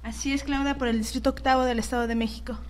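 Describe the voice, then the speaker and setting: Higher-pitched Mexican woman, emphatic guest. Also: steady tone, fast talker. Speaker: interview guest, political activist. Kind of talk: earnest speech-like interview talk.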